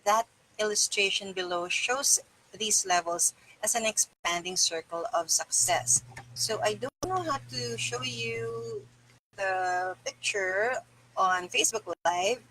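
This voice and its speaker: A woman's voice: medium pitch, slow pace, pushed and bright, slight background noise, low-quality recording. A middle-aged woman reading aloud for a live stream, with casual asides to her viewers. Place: small indoor room with bare wall.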